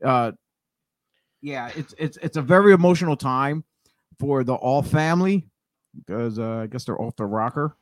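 A man's voice: mid-range voice, moderate pace, pauses often, dry recording. A man in his forties chatting casually and jokingly as co-host of a talk show. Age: 40s. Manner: joking, banter-prone. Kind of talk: casual chat.

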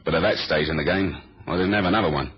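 An actor playing a laconic, wry British secret agent with a London accent in a 1970s radio spy drama. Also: male voice, low-pitched, fast talker, breathy edge. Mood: terse, serious. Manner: deadpan, informal. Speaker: actor and first-person narrator. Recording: dull old radio recording.

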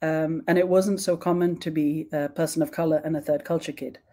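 Young woman guest speaker, low-ish warm voice, reflective and unhurried. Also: fairly even intonation. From born in Sweden, raised in several countries. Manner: thoughtful, with frequent ums and restarts. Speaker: guest expert. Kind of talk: online panel talk.

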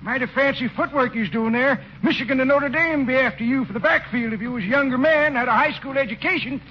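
A man's voice: extremely high pitch, fast talker. An actor playing a sassy, cantankerous man of seventy with folksy, impudent talk in 1940s radio comedy. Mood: sassy teasing. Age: 70s. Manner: folksy, impudent drawling grammar. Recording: muffled old 1940s radio recording, faint noise.

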